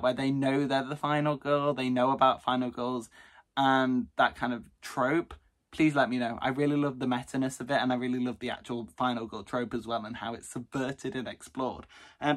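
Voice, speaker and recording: A young man chatting casually to camera, rambling with exaggerated rants while his voice is going hoarse. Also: mid-range voice, a bit bright. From Britain. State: losing his voice. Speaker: book vlogger.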